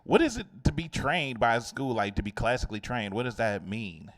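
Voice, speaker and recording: A man's voice: mid-range voice, very animated, a little fast. A man hosting a casual interview show, rambling loosely with many fillers. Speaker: show host.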